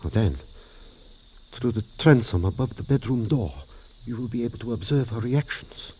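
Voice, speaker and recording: Commanding scientist-doctor for vintage radio drama: formal, grave, highly inflected, on a dull old recording. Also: male voice, lively intonation, a little mellow, slightly rough. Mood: grave, solemn. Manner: deliberate, formal phrasing with few contractions. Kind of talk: 1940s-50s radio drama acting.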